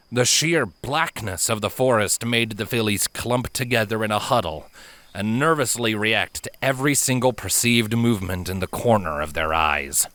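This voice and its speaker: An audiobook narrator delivers scripted fantasy prose with drama. The voice is bright and expressive in pitch, captured in a dry recording. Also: no echo.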